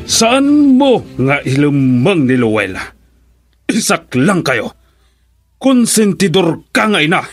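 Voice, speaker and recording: A man's voice: fairly high pitch, big pitch swings, slow, faint music behind. An actor with a Filipino Ilocano accent playing a stern, wealthy, controlling father who scolds indignantly in a radio drama. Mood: accusing.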